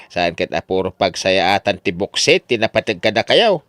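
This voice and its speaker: Guy doing a nagging fed-up dad in a radio comedy, sharp voice, dry close sound. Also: low voice, sing-song, moderate pace, no room sound. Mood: scolding. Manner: scolding, exclamatory. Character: exasperated, nagging father scolding his idle son. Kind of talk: Ilocano comedy radio drama acting.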